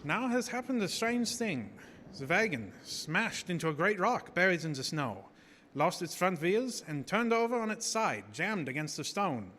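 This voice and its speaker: A grave old vampire hunter speaks in halting, commanding phrases. His voice sits fairly high, ringing through long reverb over clear noise, in the manner of a live radio horror play. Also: sing-song, pushed and bright, heavily acted. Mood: tense, awed. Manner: solemn, halting foreign phrasing. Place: small theater stage. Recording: noisy live stage broadcast, long hall reverb.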